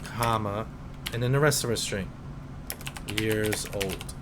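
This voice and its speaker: A man in his twenties, a teacher, calmly explaining programming in a casual screen-recorded tutorial with some fillers. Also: lowish voice, noisy background. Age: 20s. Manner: slow, relaxed explaining with fillers.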